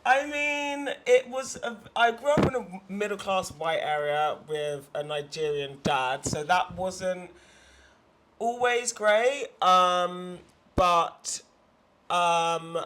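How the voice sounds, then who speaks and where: A woman's voice: lowish voice, slow, fairly bright tone. A young woman from England, chatting casually as an interview guest in her studio, with lots of fillers. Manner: chatty, lots of fillers and restarts, laughs easily. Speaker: guest artist. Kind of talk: casual conversation.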